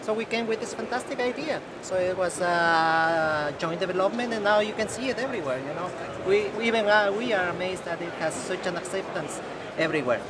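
Man, middle-aged, high voice, eager and emphatic, exhibition hall, heavy background noise. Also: fairly quick. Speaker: locker company representative. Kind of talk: trade-fair interview. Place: busy indoor exhibition hall.

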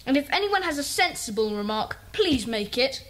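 A woman playing a sensible, bossy young boy who leads a children's club, brisk and commanding. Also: high voice, sing-song. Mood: businesslike.

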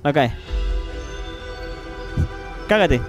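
Excitable high-voiced Chilean man. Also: very slow, over music. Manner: loud, excitable, swears freely. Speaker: streamer. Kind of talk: casual gaming livestream chat.

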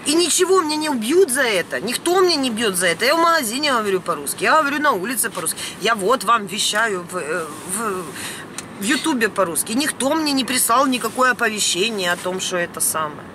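A woman's voice: fairly high pitch, expressive, a little fast. A woman, speaking indignantly and bluntly in an unscripted vlog rant, recorded inside a van. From Ukraine. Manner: indignant, emphatic, blunt, peppered with mild swearing. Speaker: vlogger. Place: inside a parked or moving van. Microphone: camera at about arm's length. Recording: noisy vehicle cabin.

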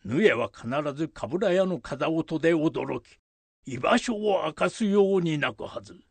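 A man acts a commanding samurai lord in a period radio drama. The voice is gravelly and a little high for a man, with slow, deliberate archaic phrasing. The delivery is authoritative and resolute, with wide swings of pitch. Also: animated, dry recording. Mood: grave, urgent.

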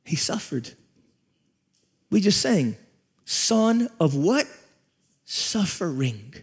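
A man in his forties preaching a sermon on a large church stage, with repeated phrases, pauses and rising emphasis. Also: high-pitched, big pitch swings, breathy edge. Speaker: pastor.

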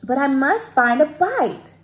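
Woman voicing a young storybook dragon, high and swooping. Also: very animated, measured pace. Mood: hungry, determined. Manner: exclaiming, playful rhyming speech. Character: young male dragon, hungry, friendly, secretly soft-hearted.

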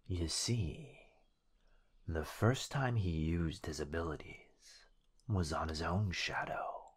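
Casting: male actor as a teasing, possessive shadow being, deep husky whisper, unhurried, for ASMR roleplay. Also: animated, heavily acted. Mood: teasing, sinister. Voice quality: breathy, whispery. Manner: drawn-out, menacingly sweet delivery. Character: possessive, teasing living shadow of a sorcerer boyfriend.

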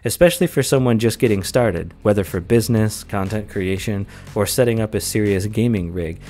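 A man in his thirties giving a relaxed, measured product review from a small home office, speaking close into a desk condenser mic. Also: fairly low pitch. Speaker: tech reviewer. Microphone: close desk condenser mic on boom arm.

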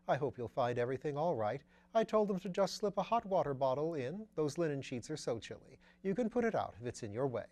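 A man's voice: very animated, fairly quick, hardly any pauses, darkish tone. A chattering elderly duchess, voiced by a man in a storybook reading, running one bit of gossip into the next with lifted, fluttery phrases. She is kindly, scatterbrained and never stops for breath. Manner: breathless rambling gossip, lifting high on phrases.

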